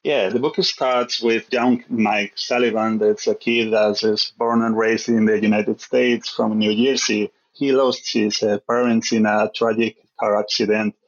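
A man's voice: fairly low pitch, level tone, unhurried, few pauses, highs cut off. A man from Spain with a Spanish accent, a guest author answering interview questions over a remote call, hesitant and full of fillers as he searches for English words.

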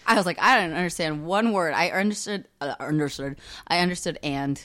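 An American woman with a slightly low voice banters on a podcast. She is casual and slangy, often repeating her words as she goes. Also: brisk, a bit bright. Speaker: podcast speaker.

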